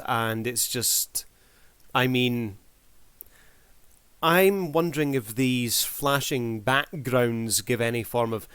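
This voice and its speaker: A man's voice: mid-range voice, fairly bright tone, clean tone, no echo. A man giving casual review commentary as a video creator, in a wry, mock-formal showman's manner.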